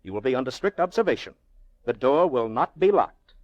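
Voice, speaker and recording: Pompous rich gentleman, swooping theatrical delivery. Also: mid-range voice, very animated, no room sound, dull audio. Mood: brisk, commanding. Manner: pompous, rhetorical, drawn-out emphasis. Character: haughty, very wealthy Russian gentleman, goading and theatrical. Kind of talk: old-time radio drama acting.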